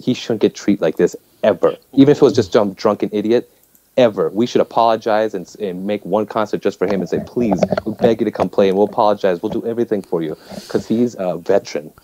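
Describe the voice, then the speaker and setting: A man's voice: on the low side, fast talker, mellow, slight background noise. A man giving impassioned, emphatic opinions in a talk discussion, repeating points to drive them home. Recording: dull, roomy lo-fi call audio.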